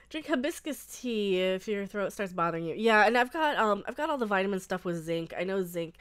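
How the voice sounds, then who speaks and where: A woman's voice: medium pitch, lively intonation, normal speed, a bit bright, smooth. A young woman with a cold and a stuffy nose, from Colorado, hosting a casual live stream and chatting with viewers in a rambling way with frequent laughs. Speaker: livestream host.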